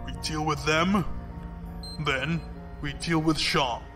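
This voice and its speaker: A man playing a composed, shrewd space commodore in an audio drama, measured and probing with calm authority. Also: on the high side, over music. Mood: decisive.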